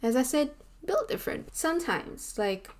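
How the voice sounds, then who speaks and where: A woman's voice: sits high, normal speed. Chatty Malaysian woman in her twenties. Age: 20s. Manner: chatty and animated, lots of "like" and "you know". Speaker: vlogger. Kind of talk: casual talking-head vlog. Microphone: camera mic, seated on a chair.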